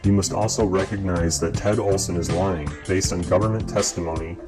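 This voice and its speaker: A man reading scripted documentary narration in a measured, formal way, as the narrator. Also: low-pitched, fairly even intonation. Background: guitar music.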